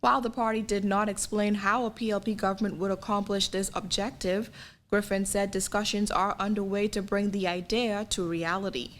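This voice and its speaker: A female reporter narrates a scripted news story. Her pitch is average for a woman, and a faint noise sits behind the voice. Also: flat delivery, a little fast, fairly bright tone.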